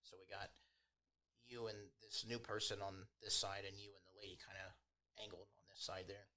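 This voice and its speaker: A man's voice: lowish voice, flat delivery, fairly quick, sharp tone. A man running a tabletop game as game master, narrating in an informal, improvised, chatty way. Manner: informal, chuckles while narrating.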